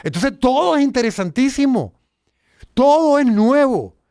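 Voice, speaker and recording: Puerto Rican male host, animated and emphatic, lively pitch, dry studio sound. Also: a little slow, no room sound. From Puerto Rico. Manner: animated, emphatic, swings between low asides and loud exclaims. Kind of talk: casual educational talk.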